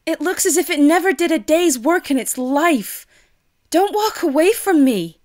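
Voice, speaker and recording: A woman's voice: high-pitched, expressive, moderate pace, darkish tone. An actress playing a proud, outspoken, ambitious young lady in an audio drama. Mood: indignant. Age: young adult.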